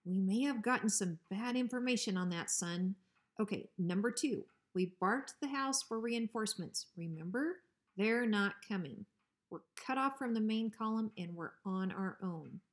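A woman's voice: medium pitch, animated, normal speed, close to an everyday voice. A woman plays an officious storybook guard dog. He is bossy and full of bluster, yet a coward underneath. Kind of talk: children's storybook reading.

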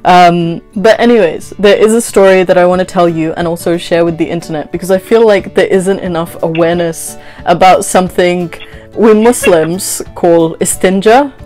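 A woman's voice: fairly low pitch, normal speed, faint music behind. A woman in her twenties chatting casually and telling a story, acting out conversations as she goes. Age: 20s. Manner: animated retelling with quoted dialogue and frequent "like". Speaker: vlogger. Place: small bedroom, indoors.

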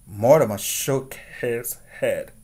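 A man in his forties, a preschool teacher, reading a story aloud in a deliberate, expressive way and checking in with his young listeners. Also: medium pitch, husky. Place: small plain room indoors. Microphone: webcam at arm's length.

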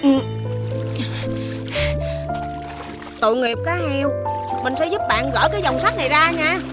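Brave small child for animation, high, kind, eager, over loud music. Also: female voice, lively intonation, fairly quick. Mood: concerned. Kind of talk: animated children's series dubbing.

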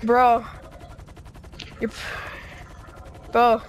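A high-voiced game player improvising roleplay in short exclamatory phrases, acting out the action. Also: completely flat delivery, extremely slow, highs cut off, slight background noise. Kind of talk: dramatic improvised roleplay during gameplay.

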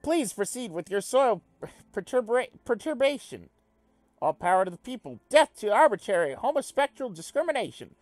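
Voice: mid-range voice, very animated, moderate pace, a little mellow, no room sound, pitched above the performer's own voice, lightly acted. A reader voicing a zealous, bouncy male alien revolutionary who preaches in fervent speeches.

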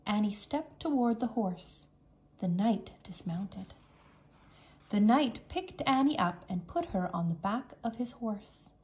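A woman teacher narrating a children's story aloud in a careful, expressive storytelling manner. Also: mid-range voice, slow pace. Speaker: English teacher reading aloud.

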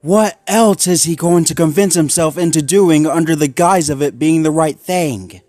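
A hard-edged young male Labrador pup with a raised, forceful voice, set on a drastic plan, as a man voices him in a dark story reading. Low music plays faintly beneath. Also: moderate pace, dry recording, natural voice. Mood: grim. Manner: intense, forceful and resolute. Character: determined, grim young male Labrador dog.

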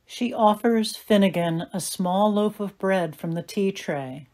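A woman's voice: mid-range voice, a little slow, a little mellow. A woman reading stage directions as scripted narration for an audio play.

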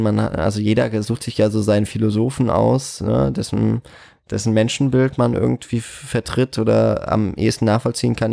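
Man, interview guest, low gravelly voice croaky from a cold, hesitant, dry close sound. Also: darkish tone, no room sound. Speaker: interview guest, cinema manager. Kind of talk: casual conversational interview.

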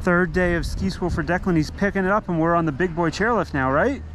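A man's voice: high-pitched, fast talker, a bit bright, low-quality recording. A man filming his young son on an outdoor ski slope, narrating in a casual, encouraging way. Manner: upbeat and encouraging. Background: music.

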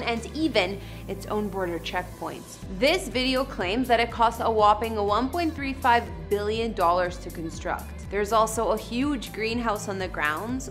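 Bright-voiced young woman presenting. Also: on the high side. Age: young adult. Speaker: host of an entertainment house tour show. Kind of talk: scripted narration for an online video.

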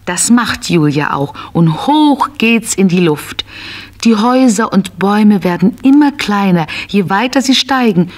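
A woman's voice: medium pitch, lively intonation, a little slow. A woman narrating a scripted children's story, telling it as if to small children.

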